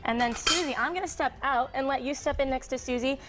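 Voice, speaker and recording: A woman's voice: high-pitched, quick pace. A woman cooking on a TV studio set, explaining each step in an upbeat, hands-on way. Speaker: guest cook. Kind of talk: cooking demonstration on live TV. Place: TV studio kitchen.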